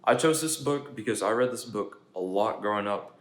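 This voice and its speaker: A man's voice: fairly low pitch, moderate pace. A young man casually reading a children's picture book aloud and adding his own asides. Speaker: storybook reader. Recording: echoey room, faint background noise.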